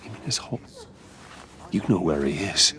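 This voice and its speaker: A male actor playing a composed, guarded wealthy man in a video game, measured and controlled. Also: deep voice, animated, crowd noise. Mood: probing.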